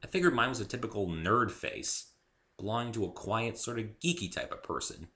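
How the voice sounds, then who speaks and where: A man's voice: on the low side, sing-song, brisk, bright, forceful tone. A man reading his own story aloud as a dramatic first-person narration.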